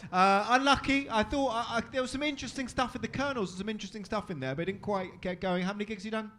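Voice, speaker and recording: Bright-voiced compere, high and sharp. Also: male voice, quick pace. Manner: blunt, matey, builds to loud announcements. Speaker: comedy show host. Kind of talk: live compering and giving feedback. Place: comedy club stage.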